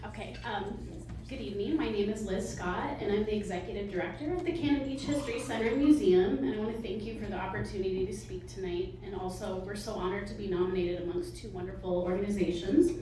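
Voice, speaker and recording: A soft-spoken woman, a museum director, giving a live fundraising pitch with nervous little laughs and many ums. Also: quick pace, hardly any pauses, background noise. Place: meeting hall with audience. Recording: echoey hall from far off.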